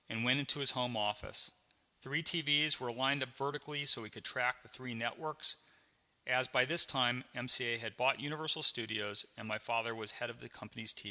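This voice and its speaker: A man reading his own memoir as a scripted audiobook, with dramatic, deliberate storytelling. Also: medium pitch, bright, forceful tone, in a large hall.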